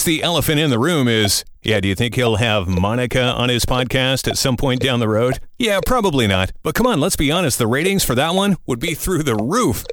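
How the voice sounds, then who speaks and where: A man's voice: medium pitch, a bit bright, no room sound, clean studio sound. A man hosting a comedy podcast in a morning radio style, tossing in wisecracking, conversational asides. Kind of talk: morning-show radio banter and news rundown.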